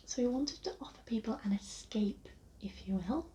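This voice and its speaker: Soft, breathy English woman, near whisper. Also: on the high side. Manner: polite, reassuring, unhurried customer-service talk. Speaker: ASMR host playing a receptionist. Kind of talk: soft-spoken ASMR roleplay. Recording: lo-fi, muffled, noticeable background hiss.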